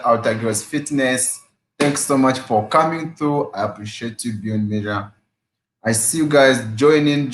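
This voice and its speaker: A man's voice: medium pitch, a bit bright, slight background noise. A man hosting a casual fitness live stream, greeting viewers by name and repeating his phrases with energy. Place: his room at home after work. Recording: echoey room.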